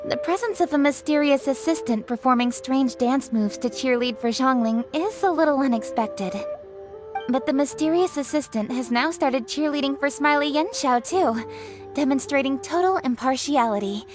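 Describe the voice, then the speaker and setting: A woman voices a formal officiator in a game cutscene. She announces in a high voice with crisp formality while game music plays. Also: moderate pace, natural voice. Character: formal female contest officiator.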